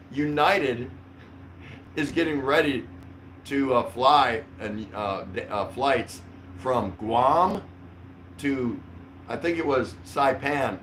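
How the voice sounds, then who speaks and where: A man's voice: medium pitch, fairly bright tone, rough recording. Older man chatting casually over faint noise. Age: older, around 60. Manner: rambling, jokey, mildly profane. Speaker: livestream host. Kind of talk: casual livestream chat. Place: small curtained room indoors.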